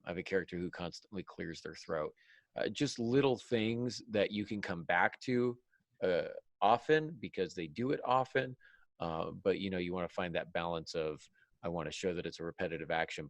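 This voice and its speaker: A man's voice: low-pitched, a little fast, no echo. A man hosting a podcast panel in an informal, casual discussion style. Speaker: podcast host.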